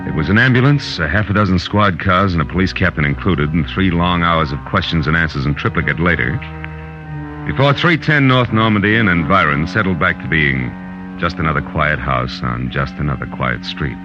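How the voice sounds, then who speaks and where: Male actor as wisecracking private detective, American, deep register, brisk clipped delivery, old-time radio drama, music. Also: lo-fi sound, lightly acted. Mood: hard-boiled narration. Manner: clipped, wry, hard-boiled. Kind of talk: 1940s radio detective drama acting and first-person narration.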